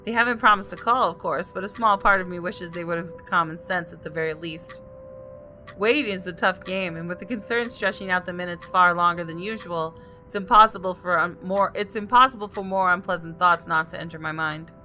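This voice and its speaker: A woman's voice: lowish voice, fairly quick. A woman doing a video game let's play, reading narration aloud dramatically and reacting with jumpy exclamations. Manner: dramatic, expressive, jumpy exclamations between read lines. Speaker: gaming YouTuber. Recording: background music under voice. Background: game music.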